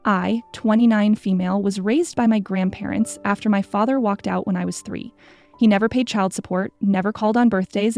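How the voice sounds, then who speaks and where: Female narrator for scripted stories; mellow tone with vocal fry. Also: mid-range voice. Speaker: story narrator.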